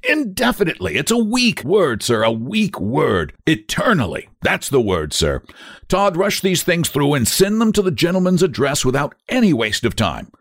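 A man voicing an excitable male tailor-shop owner who fawns over a customer in a rush of flattery. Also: mid-range voice, husky, no echo, clean studio sound, heavily acted. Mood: fawning. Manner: gushing, rapid, breathless flattery.

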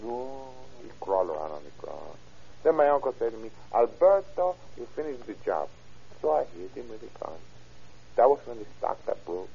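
A young Mexican suspect in a vintage radio crime play, with a husky voice that swings widely in pitch. He pleads in halting, Spanish-accented broken English. The old recording is muffled. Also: sing-song, mellow, heavily acted. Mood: resigned, confessing. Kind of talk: 1930s radio acting.

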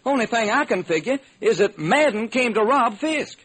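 Radio detective drama: folksy, baffled police lieutenant, high husky voice, earnest and slangy. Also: male voice, animated, normal speed, a bit bright, exaggerated voice. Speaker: actor. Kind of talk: old-time radio detective drama acting. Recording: noisy vintage radio recording.

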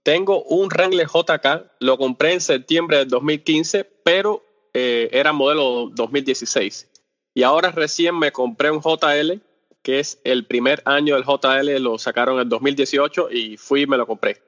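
A Cuban man answers interview questions in a relaxed, unhurried way, stumbling through fillers and restarting half-finished thoughts. His voice sits in the middle range and carries a long reverb tail. The whole recording sounds dull and lo-fi. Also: medium pitch. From Cuba. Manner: hesitant, searches for words with many fillers and restarts. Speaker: podcast guest, off-road video creator. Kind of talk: casual interview talk.

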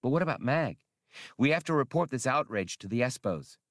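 A man's voice: mid-range voice, lively intonation, brisk, dry recording, clean studio sound, voice pushed up, lightly acted. A man voicing an earnest, worried professional woman in an audiobook, speaking in a proper, questioning way. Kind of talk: audiobook character dialogue.

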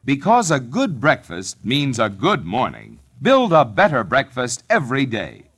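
A man's voice: medium pitch, wildly swooping pitch, unhurried, fairly bright tone, slightly rough. A man announcing a commercial in old-time radio advertising style. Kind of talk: 1940s-50s radio advertisement.

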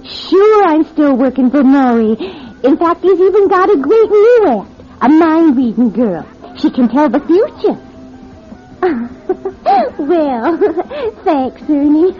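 An actress playing a friendly, streetwise young go-go dancer in a classic radio drama, with breezy, slangy talk and drawn-out words. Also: female voice, sits high, heavily acted. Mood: sweet, giggly. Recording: muffled old radio drama recording.

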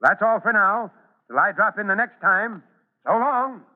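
An actor playing a brisk, authoritative and fatherly fire chief in an old radio serial. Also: sits high, animated. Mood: earnest, instructive. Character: commanding, caring male fire chief leading a rescue. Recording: muffled old radio recording, long reverb.

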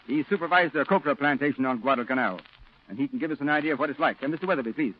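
An actor playing a sober, formal Marine colonel who speaks decisively in a 1940s radio drama. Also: male voice, medium pitch, very fast, close to an everyday voice. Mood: formal briefing. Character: authoritative, sober Marine colonel briefing his officers. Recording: muffled old radio broadcast recording.

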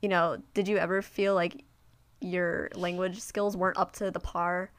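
A woman's voice: on the low side, level tone, normal speed, smooth. A young woman chatting casually with friends, reflective and hesitant, with many fillers. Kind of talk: casual group chat.

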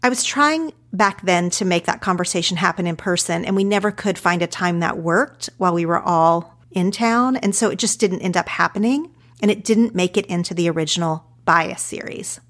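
A woman's voice: fairly low pitch, normal speed, a bit bright. A woman in her thirties with an Oklahoma accent, introducing her podcast episode in an earnest, reflective way. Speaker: podcast host. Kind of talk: podcast intro then conversation.

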